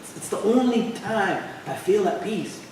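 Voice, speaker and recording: An actor plays a heated young street artist on stage, slangy and emphatic. His fairly high voice swings in pitch and sounds distant in a very echoey hall. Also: expressive, measured pace, darkish tone. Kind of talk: staged reading of a stage play. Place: theater stage at a live reading. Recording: echoey hall from far, faint noise.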